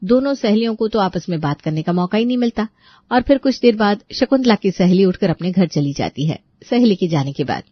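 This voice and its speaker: A woman's voice: mid-range voice, expressive, a little fast, pauses often, no room sound, lo-fi sound. A woman narrator reading a scripted domestic story.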